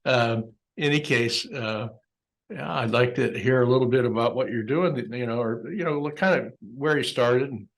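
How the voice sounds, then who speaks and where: A man hosting a podcast interview over a video call from his home office, rambling with lots of fillers. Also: mid-range voice. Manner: rambling, halting, many fillers. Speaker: podcast host. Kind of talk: casual interview.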